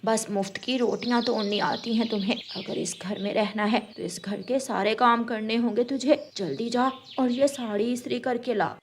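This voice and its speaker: A woman's voice: a little high, fairly even intonation, moderate pace, hardly any pauses, slight background noise, close to an everyday voice. A woman voicing an old mother-in-law bird in a children's story, with a plaintive, pleading manner. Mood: scornful. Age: older. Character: old mother-in-law bird, harsh, later weepy and remorseful.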